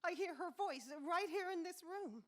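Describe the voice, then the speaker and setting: High-voiced haunted, frightened wife acted by a woman. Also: animated, normal speed, a bit bright. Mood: haunted, pleading. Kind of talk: old-time radio horror acting.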